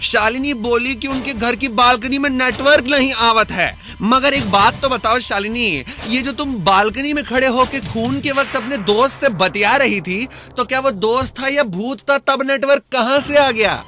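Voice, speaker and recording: A man's voice: very high-pitched, moderate pace, few pauses, pushed and bright. A man playing a cheeky, wisecracking detective sidekick in a radio crime drama, with teasing rustic banter. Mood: mocking, probing.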